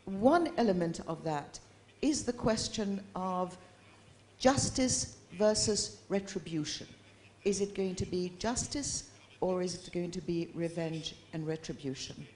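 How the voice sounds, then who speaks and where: A woman from Iraq giving measured, structured remarks at a conference, listing her points one by one. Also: on the low side, a little mellow, a little hiss or hum. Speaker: forum participant.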